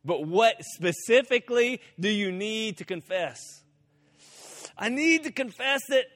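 A middle-aged man preaching a sermon, telling stories in an animated way, rising to emphatic peaks and dropping to hushed asides. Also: high voice, measured pace. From Central America. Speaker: pastor.

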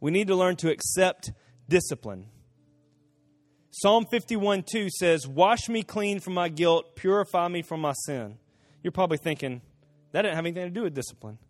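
A middle-aged man preaching a sermon, telling stories in an animated way, rising to emphatic peaks and dropping to hushed asides. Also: high-pitched. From Central America. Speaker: pastor.

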